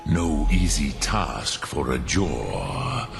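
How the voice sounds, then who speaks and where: A male actor playing an ancient, wise dragon in a fantasy video game, patient and weary, with a gravelly voice and slow, formal delivery. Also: a bass voice, very animated, background noise, full character voice. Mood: knowing, welcoming. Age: older. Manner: slow, deliberate, formal, with long pauses.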